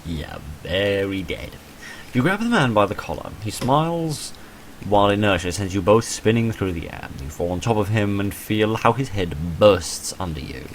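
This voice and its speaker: A man's voice: on the low side, expressive, normal speed, rough recording. A man reading visual novel text aloud in a dramatic storytelling way, with dry, casual asides as he plays. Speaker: gamer narrating a playthrough. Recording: noticeable background noise.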